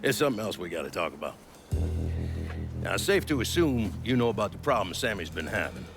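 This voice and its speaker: Gregarious older crime boss for cinematic game, profane salesman patter, very lively intonation, music underneath. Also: fairly low pitch, brisk. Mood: emphatic, approving. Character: gregarious, ruthless, foul-mouthed older male mob boss. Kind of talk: cinematic video game dialogue. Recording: music behind the voice.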